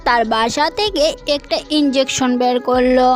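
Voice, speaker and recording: Very high-voiced woman narrating a children's story. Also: normal speed, soft background music.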